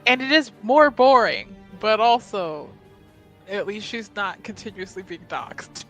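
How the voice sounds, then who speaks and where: A woman's voice: mid-range voice, expressive, unhurried, faint music behind. A woman playing a tabletop roleplaying game, casually describing what her character does. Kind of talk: casual improvised chat about her character.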